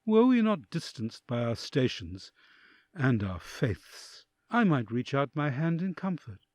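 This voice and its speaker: A man's voice: mid-range voice, very animated, unhurried, a little mellow, dry recording, studio quality. A man playing a troubled, fearful and sympathetic envoy in an audio drama, delivering an intense, reflective interior monologue in theatrical style. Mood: pitying. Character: troubled male envoy, sympathetic, fearful, inwardly agonised listener.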